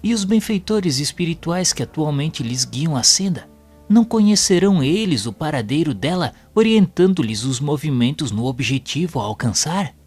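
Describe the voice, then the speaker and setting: An actor playing an earnest, inquisitive spirit student in an audio drama, with a formal, respectful and expressive delivery. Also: male voice, fairly high pitch, moderate pace, breathy edge, soft background music. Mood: earnest questioning. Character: earnest, inquisitive male spirit student who narrates his learning. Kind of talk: audio drama dialogue and literary narration.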